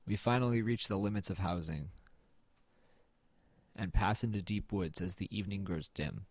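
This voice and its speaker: A man's voice: deep voice, moderate pace, dry recording. A man playing a weary, wry young man in an audio drama, narrating and talking in an understated, brooding way. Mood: somber narrating. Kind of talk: scripted first-person narration and low-key dialogue in an audio drama.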